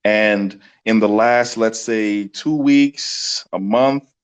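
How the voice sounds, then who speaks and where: Earnest low-voiced New man. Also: takes it slowly, clean tone. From New York City. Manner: earnest, thinks aloud with ums and restarts. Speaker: guest, community organizer. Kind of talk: casual explanatory interview talk.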